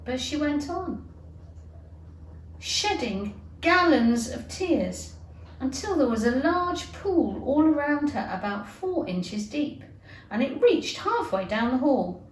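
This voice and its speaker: A woman reads a children's storybook in a high, very lively voice. She takes her time with theatrical, deliberate phrasing. The sound is roomy and distant from the microphone. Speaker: teacher reading aloud. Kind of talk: expressive children's storybook narration.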